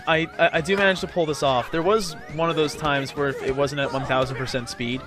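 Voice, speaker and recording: A man's voice: fairly high pitch, steady tone, a little fast, over music. A young man giving casual, rambling gameplay commentary with frequent stammers as he explains. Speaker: gamer, let's play commentator.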